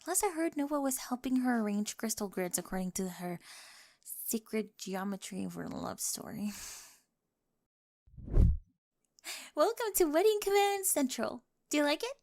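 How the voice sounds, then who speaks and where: A woman plays a quirky young bride, devoted to the point of obsession. Her voice is high and soft, often dropping to a whisper, close and dry. She speaks with nerdy, analytical precision in an ASMR roleplay. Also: very animated, a little slow, long pauses, no room sound. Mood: excited, planning. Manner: precise, analytical, jargon-heavy speech. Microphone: close, dry microphone.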